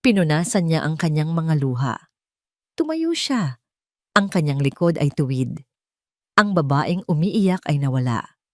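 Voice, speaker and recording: A woman narrating a scripted romance drama in a solemn, deliberate way, with dramatic pauses. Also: low voice, big pitch swings, no echo, clean studio sound. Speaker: story narrator.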